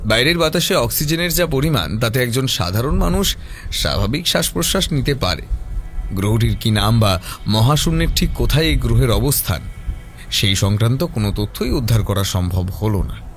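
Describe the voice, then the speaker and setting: Male audio-story narrator, measured and dramatic, mid-pitched with lively intonation, horror mystery read.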